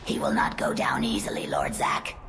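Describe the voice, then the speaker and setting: A woman playing a cold undead servant of a dark lord in a game, with a whispery, gravelly voice. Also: a bass voice, level tone, moderate pace, soft background music. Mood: cold, sinister.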